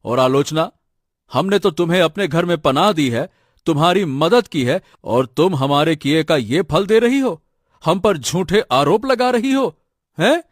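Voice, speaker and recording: A man's voice: on the high side, expressive, measured pace, no room sound, studio quality. A man playing a sensible, protective elder brother from a poor village in a cartoon tale, speaking in an earnest, reasoning way. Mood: indignant. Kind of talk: Hindi cartoon story dialogue.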